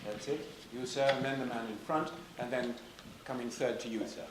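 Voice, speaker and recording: A man chairing the event, briskly directing audience members to their questions. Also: mid-range voice, background noise. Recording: roomy hall, crowd audible.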